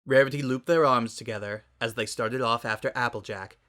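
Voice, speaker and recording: A narrator reads a scripted story in a dramatic style. The voice is bright and forward, at a medium pitch. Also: brisk.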